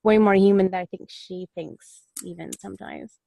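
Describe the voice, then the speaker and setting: A woman's voice: medium pitch, normal speed, vocal fry, dark tone, slight background noise. A woman chatting casually as a panelist in a podcast discussion. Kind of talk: casual chat.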